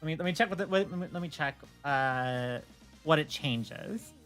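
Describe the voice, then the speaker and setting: A woman's voice: low voice, expressive, moderate pace, faint music behind. A woman chatting casually on a livestream, often stammering and repeating words as she explains things. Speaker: streamer and game server admin.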